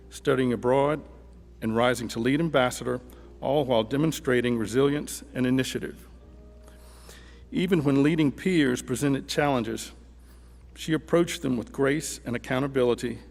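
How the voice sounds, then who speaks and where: A man reading a scripted speech as an award presenter at a ceremony, his voice echoing through a hall. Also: mid-range voice.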